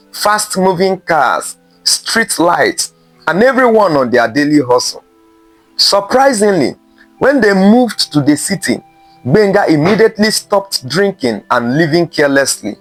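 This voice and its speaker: A man narrating a scripted folktale in a measured way, with dramatic pauses between short phrases. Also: fairly high pitch, dry recording. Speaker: storyteller.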